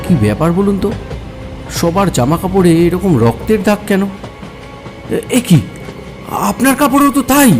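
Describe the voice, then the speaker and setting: Man playing a greedy, excitable cartoon official. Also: sits high, moderate pace, long pauses. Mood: nervous. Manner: expressive, exclaiming, talks to himself. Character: greedy, self-serving male government officer, easily flustered. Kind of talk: animated cartoon voice acting. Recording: music bed behind the voice.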